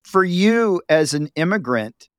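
A man's voice: high-pitched, big pitch swings, measured pace, clean tone, dry recording, clean studio sound. A middle-aged man hosting a podcast, chatting conversationally, laughing easily and acting out quoted voices as he asks questions. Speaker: podcast host. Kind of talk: podcast interview.

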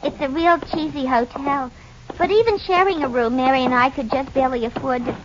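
An actress playing an excitable, chatty young showgirl in an old American radio drama, breathless and wide-eyed. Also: sits high, a little fast, natural voice. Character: excitable young showgirl musician, plain-spoken and impressionable. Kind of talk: 1940s-50s radio acting. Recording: muffled, hissy old radio recording.

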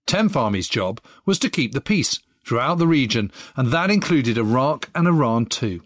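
A man's voice: medium pitch, sing-song, moderate pace, no echo, clean studio sound. A man hosting a history series, reading scripted introductory narration in a presenter's manner. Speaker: podcast host and presenter.

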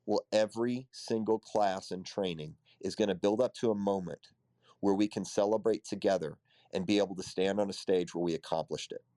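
A man hosting a live audio show, energetic and encouraging, calling listeners up to share their stories. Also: low voice, brisk, no room sound. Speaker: host.

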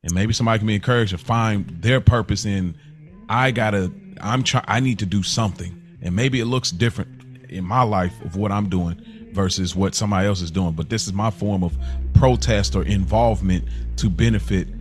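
Low-voiced American man over music. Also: brisk. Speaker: podcast co-host. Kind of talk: casual podcast conversation. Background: music under the voice.

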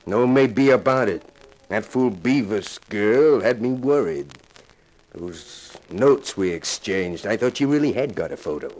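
An actor playing a smug, scheming young killer in a 1950s radio crime drama, threatening and often hushed. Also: male voice, on the low side, lively intonation, a little slow. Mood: smug, gloating. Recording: noisy vintage radio recording.

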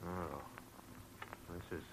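An actor playing an uneasy shop owner and husband in a 1940s radio drama. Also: male voice, deep voice, fairly even intonation, very slow, breathy edge, heavily acted. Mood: shocked, subdued. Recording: noisy vintage radio recording.

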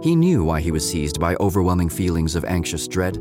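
A wry storybook-style narrator in a lowish voice with lively pitch movement, music playing clearly behind him. He tells the audio drama's story in a measured, matter-of-fact way.

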